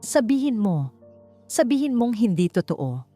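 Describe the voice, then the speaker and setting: A woman acts out a young Filipina betrayed in love in an audiobook drama. She is furious and heartbroken, accusing in a trembling, emotional voice. Soft music runs underneath. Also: fairly high pitch, big pitch swings, a little slow, voice pushed up. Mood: pleading anger.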